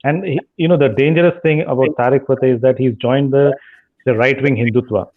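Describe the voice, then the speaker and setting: A man's voice: medium pitch, steady tone, brisk, phone-quality sound. A man speaking on a livestream call, blunt and scornful in a heated casual chat. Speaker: caller.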